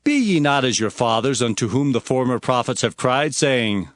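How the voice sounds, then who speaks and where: A man's voice: mid-range voice, lively intonation, moderate pace, dry recording, clean studio sound, natural voice. A man playing an earnest Hebrew prophet, narrating scripture in a dramatic, declamatory way. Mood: stern. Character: earnest male Hebrew prophet recounting visions and oracles.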